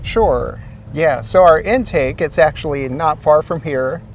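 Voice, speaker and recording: An easygoing man with a slightly high voice explains things step by step and leans on small fillers. He is recorded outdoors by a lake, a little away from the mic, with faint noise behind him. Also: a little slow, distant mic. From Ohio. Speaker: source water protection coordinator, guest. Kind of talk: explanatory interview answers.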